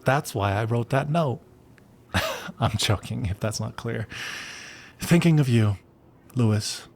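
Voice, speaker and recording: A man's voice: medium pitch, lively intonation, slow pace, creaky, heavily acted. An earnest young man with a mid-range voice, like a character in a narrative game. He talks in a rambling, conversational way, second-guessing himself and slipping into awkward, gossipy asides. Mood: earnest, joking. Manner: rambling, self-correcting, conversational.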